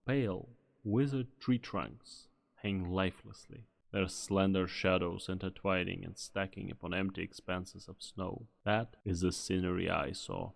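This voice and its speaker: A man's voice: low voice, steady tone, measured pace, creaky, hardly any pauses. A man playing a solemn storyteller, giving poetic scripted narration in an amateur game fan dub. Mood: somber. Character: somber male storyteller recalling a bleak winter land.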